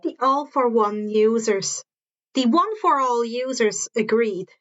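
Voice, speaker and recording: A woman's voice: fairly high pitch, takes it slowly, a bit bright, lo-fi sound. A woman reading a story aloud as narrator, now and then stumbling over and repeating words, on a modest home recording. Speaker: fan fiction narrator.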